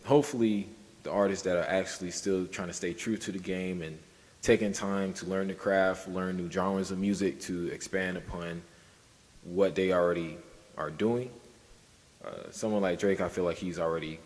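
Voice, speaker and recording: Low-voiced man in a reverberant space. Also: steady tone, normal speed, darkish tone. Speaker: podcast co-host. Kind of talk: casual discussion.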